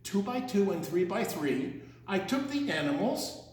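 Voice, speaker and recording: A man's voice: high voice, big pitch swings, a little slow, a little mellow, a little hiss or hum. A man reading a story aloud to children in a theatrical, playful way, drawing out his words and adding asides. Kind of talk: animated read-aloud storytime with asides and sound imitations. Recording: very echoey room.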